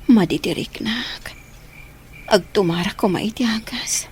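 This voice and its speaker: A woman with a Filipino accent playing a sick, weak mother in a radio drama, in a whispery, breathy voice. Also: mid-range voice, unhurried. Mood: weak, pleading.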